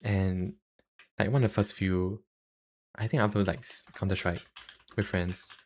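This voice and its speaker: A young man with a Singaporean accent chatting casually on a livestream, halting and self-deprecating, speaking into a cheap USB microphone. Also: deep voice, fairly even intonation. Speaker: YouTuber and live streamer.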